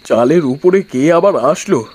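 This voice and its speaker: Guy doing a poor village veg seller, kinda high voice, pleading and moaning, cartoon style. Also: animated, a little slow, a little mellow, breathy edge. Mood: hopeful. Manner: lamenting, pleading. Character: luckless, plaintive poor village vegetable seller husband.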